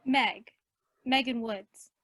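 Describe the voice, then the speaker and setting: A woman's voice: fairly high pitch, very slow, fairly bright tone, no echo. An actress in a radio play as an earnest, eager eighteen-year-old girl who dreams of singing. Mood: nervous, eager. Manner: earnest, youthful.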